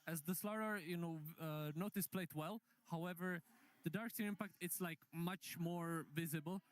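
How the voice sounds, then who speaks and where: A man's voice: a little high, moderate pace. A man working as an esports analyst on a live desk, walking steadily and methodically through how a teamfight played out. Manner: steady, methodical play-by-play breakdown.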